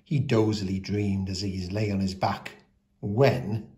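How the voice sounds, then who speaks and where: Casting: male storyteller, lowish voice, expressive read-aloud of rhyming picture books for young children. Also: measured pace.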